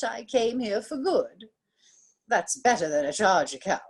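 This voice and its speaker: A woman acting a haughty, ancient matriarch in a radio drama, with a husky voice and a grand, condescending manner. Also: mid-range voice. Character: imperious, haughty, ancient matriarch of eerie store dwellers.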